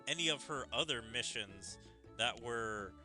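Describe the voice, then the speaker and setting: A man commentates on a game in a casual, musing way. His voice is very bright and forward, a little high for a man. He takes his time, and game music plays faintly behind him. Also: animated, unhurried. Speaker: gaming streamer. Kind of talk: let's play commentary, reading game dialogue aloud.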